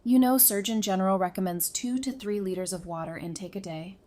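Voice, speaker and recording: A woman playing a playful, sassy, warm-hearted doctor in an audio drama, with an expressive, teasing delivery. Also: medium pitch, fairly quick, close to an everyday voice. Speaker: voice actor in a fan audio drama. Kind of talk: conversational audio drama acting.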